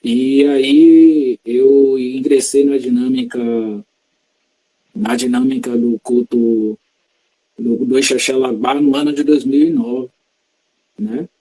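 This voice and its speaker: A man's voice: mid-range voice, steady tone, mellow, breathy edge, poor audio. A man from Salvador, a religious practitioner explaining his tradition patiently in an interview. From Salvador, Bahia, Brazil. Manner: measured, teacherly, explains terms patiently.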